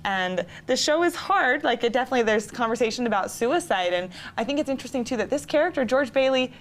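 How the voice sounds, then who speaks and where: A woman giving earnest, emphatic interview answers as a community theater actor. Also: medium pitch. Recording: noticeable background noise.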